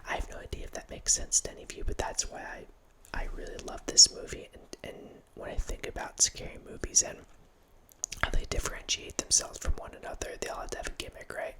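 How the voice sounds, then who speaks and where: A man in his thirties whispering a relaxed ASMR ramble as host, close to a studio mic in a small home room, with frequent restarts. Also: quick pace, low-quality recording. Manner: whispering throughout, relaxed film-nerd musing with frequent restarts. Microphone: studio mic close up, headphones on.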